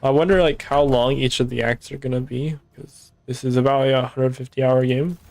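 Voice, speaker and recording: A young man streaming a game, hoarse and tired, rambling casually as he thinks aloud. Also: medium pitch, fairly even intonation, moderate pace, darkish tone, no room sound. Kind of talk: casual live-stream commentary.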